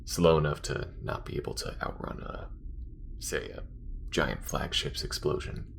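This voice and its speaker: A man playing an alien smuggler in an intimate audio roleplay, tender, wry and worried, speaking in a soft, halting, breathy murmur. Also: lively intonation, slight background noise, full character voice. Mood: anxious, planning. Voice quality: very deep, gravelly, breathy murmur. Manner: halting, soft-spoken, often dropping to a whisper.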